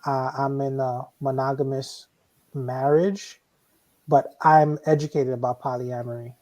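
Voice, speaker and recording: Flat-voiced man, steady and earnest. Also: medium pitch, measured pace. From Haiti. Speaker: guest. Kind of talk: casual panel chat.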